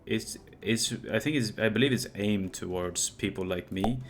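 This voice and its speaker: Low-voiced young man thinking aloud. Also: normal speed. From Sweden. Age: young adult. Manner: rambling, thinking aloud with many restarts. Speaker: host. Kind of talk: casual chat.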